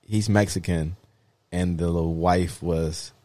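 A man co-hosting a podcast in casual chat, talking in an informal, halting, rambling way. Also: low voice, steady tone, warm tone, dry recording. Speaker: podcast co-host.

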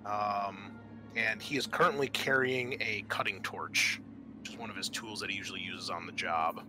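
A man running a tabletop roleplaying game as game master, narrating in a halting, improvised way. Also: on the low side, music in the background. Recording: noticeable background noise.

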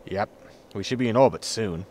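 A man playing a weary, dry-witted spaceship captain in a sci-fi comedy audio drama, deadpan in manner. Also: lowish voice, moderate pace, close to an everyday voice.

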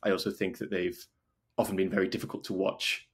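Male interview guest from North Wales, informal and conversational, husky voice with vocal fry. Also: low voice, flat delivery, fast talker. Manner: informal, frequent "like" as filler. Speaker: podcast guest, video maker and documentary filmmaker. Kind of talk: casual interview chat.

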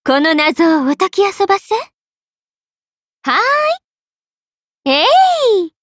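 A woman's voice: extremely high pitch, sing-song, extremely slow, a bit bright, no echo, clean studio sound, heavily acted. A woman voicing a playful, money-loving young half-spirit merchant sorceress in an anime game, with a teasing, polite lilt. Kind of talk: Japanese anime game character voice acting.

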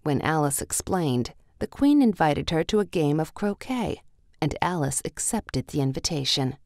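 A woman's voice: deep voice, very animated, normal speed, a little mellow, no room sound, clean studio sound. A woman narrating a children's read-along storybook in a scripted, story-reading style.

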